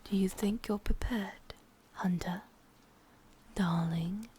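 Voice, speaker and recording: A slow, breathy, mostly whispered English witch, played by a woman, sadistic and vain, speaks with possessive, menacing intimacy. It is an ASMR roleplay with faint noise behind the voice. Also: mid-range voice, fairly even intonation, mellow, lightly acted. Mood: obsessed, menacing.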